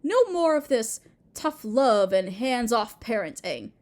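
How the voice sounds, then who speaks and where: A woman's voice: fairly high pitch, big pitch swings, slow, a little mellow. A woman voicing a doting, possessive and insecure Mother Earth in an intimate roleplay audio drama, tender, hesitant and sweetly overbearing. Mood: soothing, possessive.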